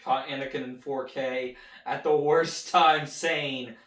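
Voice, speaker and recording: A man's voice: medium pitch, steady tone, takes it slowly, fairly bright tone, roomy sound. A man hosting a watch party, chatting and reacting aloud to a cartoon as it plays. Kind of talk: casual commentary while watching a cartoon.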